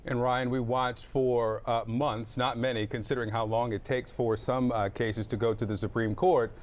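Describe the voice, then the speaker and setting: A man's voice: mid-range voice, level tone, normal speed. A man anchoring live television news, putting points to a reporter.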